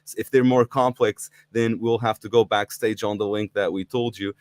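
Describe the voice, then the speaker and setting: A man's voice: fairly low pitch, steady tone, moderate pace, no room sound. A middle-aged man from Portugal hosting a live online talk, eager and inviting, with frequent fillers and restarts. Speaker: livestream host. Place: indoors in Portugal.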